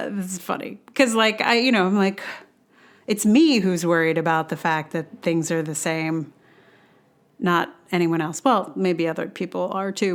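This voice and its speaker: Reflective woman reading a personal essay. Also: on the low side. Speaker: blogcast host and writer. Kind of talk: reading a personal essay aloud with casual asides.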